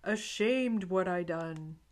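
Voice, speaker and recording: A woman reading aloud as the sprinkle snitcher, a sleepy male thief who turns sorry and sheepish. Slow, gentle storybook delivery. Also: lowish voice. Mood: ashamed.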